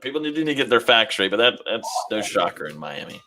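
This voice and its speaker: A man's voice: medium pitch, big pitch swings, fairly quick, pushed and bright. A man co-hosting a casual sports chat, upbeat and laughing often. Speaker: co-host.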